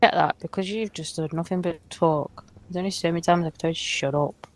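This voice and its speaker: A woman's voice: on the low side, fairly even intonation, fairly quick. A woman co-hosting a game stream, blunt and dry, swearing now and then. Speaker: co-host streamer. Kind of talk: casual live-stream chat.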